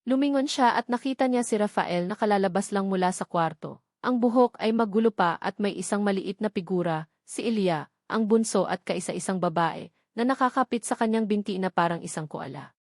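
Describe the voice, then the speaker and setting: A woman's voice: mid-range voice, brisk, a little mellow, no room sound. Filipino woman narrating, close and dry. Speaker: story narrator. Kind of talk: scripted dramatic romance storytelling narration.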